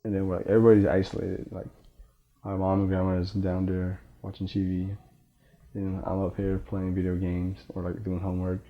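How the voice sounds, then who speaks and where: A young man speaks in a casual, rambling way, sprinkling "like" through his sentences. His voice sits very low and stays flat, with little rise or fall. The recording sounds dull and lo-fi. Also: normal speed. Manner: loose, heavy use of "like". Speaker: interviewee. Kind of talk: casual personal account.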